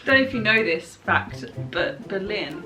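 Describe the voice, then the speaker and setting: A woman's voice: medium pitch, lively intonation, unhurried. A young woman hosting a vlog in casual chat, with a playful, giggly way of talking. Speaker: vlog host. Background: music.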